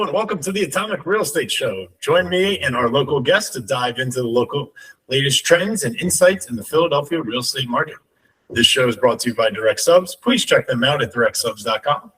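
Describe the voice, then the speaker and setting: A man's voice: medium pitch, fairly bright tone, slightly rough, recorded from a distance, low-quality recording. A man hosting an interview show, introducing it and putting questions to his guest. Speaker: podcast host.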